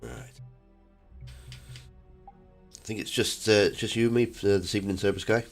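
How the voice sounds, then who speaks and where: A man hosting a casual gaming live stream, his voice croaky from a cold, talking in a laid-back, halting way. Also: lowish voice, fairly even intonation, faint music behind.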